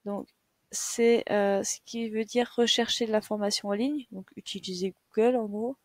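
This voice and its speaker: A woman's voice: mid-range voice, fairly even intonation, normal speed, no echo, studio quality. A young woman giving a patient, step-by-step screen tutorial as a teacher, with many fillers. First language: French. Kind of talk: screen-share tutorial lesson.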